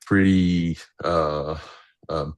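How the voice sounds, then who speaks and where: A middle-aged man with an exceptionally deep voice. He talks casually as a podcast guest, halting often as he searches for words. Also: fairly even intonation, clear, resonant tone. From Egypt. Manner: hesitant, halting delivery with many pauses. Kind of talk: casual reminiscing conversation.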